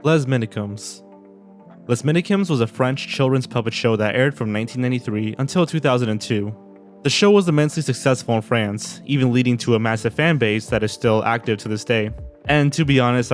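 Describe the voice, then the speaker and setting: A man's voice: mid-range voice, a little fast, soft background music. A man narrating a countdown video from a script.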